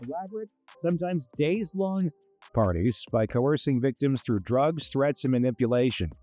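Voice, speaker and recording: A man's voice: medium pitch, lively intonation, normal speed, dark tone, no echo. A man delivering a scripted news report.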